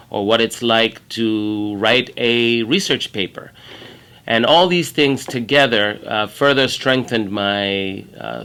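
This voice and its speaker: A middle-aged man from Kenya speaks in a measured, earnest way as he explains his work as an infectious disease doctor. His voice is bright and forward, at a middling pitch. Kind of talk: prepared career talk to camera.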